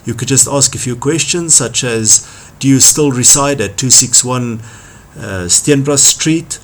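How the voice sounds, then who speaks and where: A man's voice: mid-range voice, unhurried. A man presenting an instructional talk as a trainer, speaking emphatically and repeating key phrases for stress. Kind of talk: instructional talk on workplace skills.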